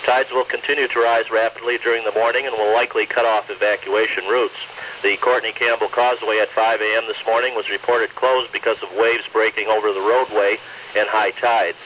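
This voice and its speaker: A man's voice: lowish voice, steady tone, brisk. A man reading an official weather bulletin as a radio announcer, in a formal, matter-of-fact manner. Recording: dull noisy radio broadcast recording.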